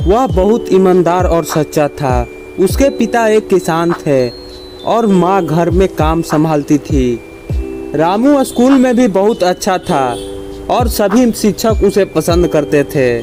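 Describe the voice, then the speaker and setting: A man reading scripted moral stories for children in a simple, measured storybook manner. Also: high-pitched.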